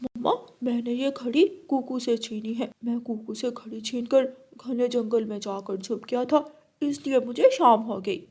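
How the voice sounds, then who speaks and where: Lady doing a naughty little crow boy for a cartoon, high, cocky, kinda whiny. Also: measured pace, mellow, breathy edge. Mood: sneaky, pleased. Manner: childlike. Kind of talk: children's cartoon character voice.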